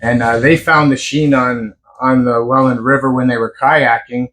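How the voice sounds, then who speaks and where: A man who is a guest in a small radio studio, talking loudly in an easygoing way. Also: mid-range voice, steady tone, moderate pace, slight background noise, low-quality recording. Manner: loud-voiced, easygoing. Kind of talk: casual radio interview.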